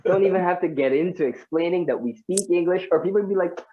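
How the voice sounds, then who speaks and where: A man's voice: high-pitched, fast talker, phone-quality sound. Guy from guyana doing stand-up on a video call, chatty, keeps talking to the crowd. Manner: chatty, animated, many asides to the audience. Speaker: stand-up comedian. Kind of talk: stand-up comedy set.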